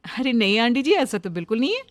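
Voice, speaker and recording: A woman's voice: a little high, big pitch swings, fast talker, dry recording, pitched above the performer's own voice. A woman voicing a polite, earnest young daughter-in-law in a cartoon story. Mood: puzzled, hurt. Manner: respectful, earnest.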